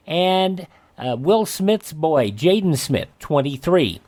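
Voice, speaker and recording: A man hosting a radio program, talking in an announcer-like way as he reads out items and pitches. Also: very animated, takes it slowly, darkish tone. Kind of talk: radio hosting and live-read ads.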